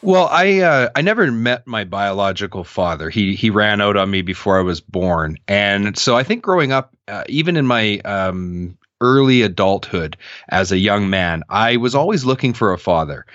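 Earnest man in his thirties, low voice. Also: normal speed, no room sound, muffled recording. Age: 30s. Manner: earnest, reflective, animated when quoting others. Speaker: podcast guest. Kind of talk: candid personal storytelling.

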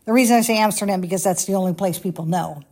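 A middle-aged woman with a Dutch accent tells her story casually, drifting into asides and correcting herself as she goes. Her voice sits at a mid pitch with a mellow, darker color. She sounds like someone chatting to her listeners. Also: racing, breathy edge. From Netherlands. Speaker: podcast host, former flight attendant.

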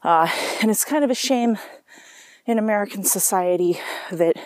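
A woman's voice: mid-range voice, normal speed, a little mellow, dry recording. A woman talking casually to her camera, rambling from thought to thought with many asides and restarts. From South Africa. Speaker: vlogger. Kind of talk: casual personal monologue.

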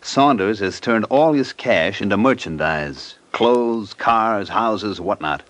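Hard-boiled money man, low voice, sarcastic slang, shrewd and impatient, vintage radio drama. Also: lively intonation, slow pace, a bit bright. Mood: sardonic, impatient. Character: sardonic, hard-boiled creditor, shrewd and impatient racketeer type. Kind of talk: old-time radio crime drama acting.

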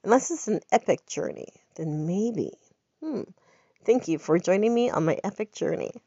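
A woman's voice: mid-range voice, lively intonation, normal speed, vocal fry, dark tone, husky, no room sound, muffled recording. Chatty woman with a head cold. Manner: chatty, upbeat, rambling. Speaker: podcast host. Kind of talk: casual personal chat.

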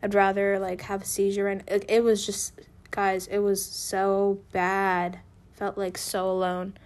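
Young female podcast host, a bit raspy from illness, candid rambling delivery. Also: mid-range voice, completely flat delivery, measured pace. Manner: candid, rambling, with frequent restarts. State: raspy from feeling unwell. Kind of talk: casual personal podcast monologue.

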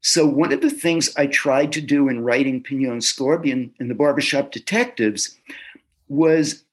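A man being interviewed as an author, answering in a casual, halting way and often repeating words as he starts a sentence. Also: mid-range voice, breathy edge. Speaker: author guest.

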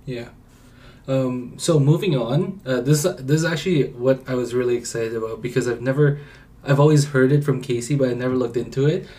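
A man hosting a podcast, asking questions in a relaxed way with hesitant fillers. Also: mid-range voice, brisk. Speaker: podcast host. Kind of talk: casual interview chat.

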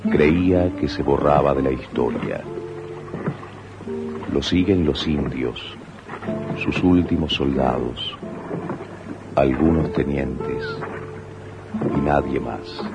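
Loud music plays behind a grave narrator of a historical radio play. His voice is extremely deep, and he delivers each phrase very slowly and solemnly. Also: heavily acted, rough recording. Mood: grave, elegiac. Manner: solemn, measured. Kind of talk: scripted dramatic narration, 1980s radio.

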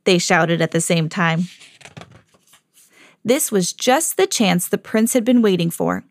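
A mother hosting her own podcast, reading a children's storybook aloud with lively jumps for the quoted lines. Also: fairly low pitch, a little slow. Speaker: mom reading to her kids, podcast host.

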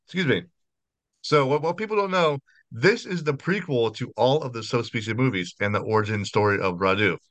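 A man's voice: mid-range voice, expressive, a little fast, a bit bright, no room sound. A man hosting a horror podcast interview over a video call, chatty and apologetic, often restarting his sentences. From United States. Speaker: podcast host.

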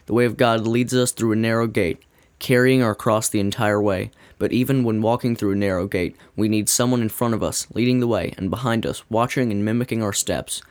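A man reading a scripted devotional in a measured, earnest way. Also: fairly low pitch, fairly even intonation, dry recording. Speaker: devotional reader.

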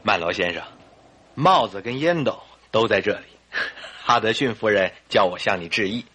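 Courteous doctor companion, radio drama, husky, bright, good-natured, lively pitch. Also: male voice, normal speed.

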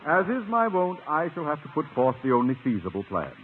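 Through a narrow, clearly noisy 1950s radio recording comes a pompous school principal. He is middle-aged and blustering, with grandly florid, commanding delivery made for broadcast comedy. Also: fairly high pitch, huge pitch swings, fairly quick. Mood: pompous, exasperated. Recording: noisy, band-limited old radio recording.

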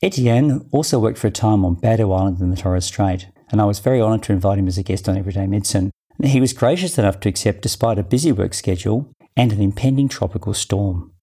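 Low, mellow man. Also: husky. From Australia. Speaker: podcast host, doctor. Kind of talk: scripted podcast intro, then interview.

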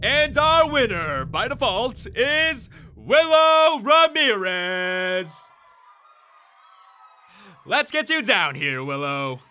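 A man's voice: high-pitched, big pitch swings, drawn-out words, pushed and bright, slight background noise, heavily acted. An actor playing a slick, glib male game show host with a showy announcer delivery in an audio drama.

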